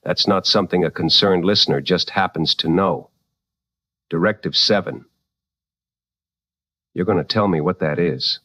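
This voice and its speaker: A veteran host of a late-night paranormal show in a radio drama. His voice is fairly low and sits dry and close. He talks deliberately, firm and wry, protective of his listeners. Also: a bit bright, no room sound. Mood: indignant, protective.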